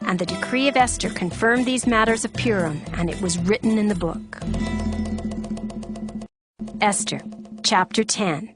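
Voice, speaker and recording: A woman's voice: medium pitch, unhurried, long pauses. A woman narrating a dramatized scripture reading in a formal, solemn storytelling manner. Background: background music.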